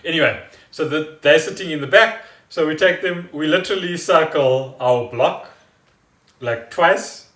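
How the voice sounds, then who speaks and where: A man's voice: fairly high pitch, measured pace, a bit bright. A man chatting casually as a podcast co-host at home. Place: indoors at home. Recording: roomy, distant from the mic.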